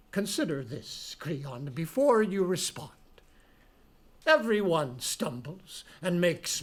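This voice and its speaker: Actor as slow, high-voiced old prophet, grave. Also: male voice, full character voice. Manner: declamatory, slow, emphatic. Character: stern old blind male prophet, grave and wrathful. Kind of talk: dramatic reading of Greek tragedy.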